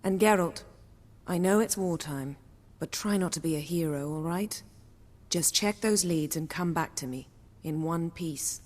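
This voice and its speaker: An actress playing a composed, assured sorceress in a video game, speaking with a slightly wry edge. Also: female voice, on the low side, measured pace, a little mellow, big-room sound. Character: poised, commanding sorceress, adult woman.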